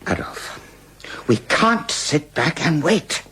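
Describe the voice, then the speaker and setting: Brash, animated brownshirt commander. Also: male voice, on the high side, slow pace, slightly rough, heavily acted. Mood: sardonic, pressing. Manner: sardonic, goading, blunt. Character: brash, defiant, foul-mouthed Nazi brownshirt commander. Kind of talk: radio drama acting.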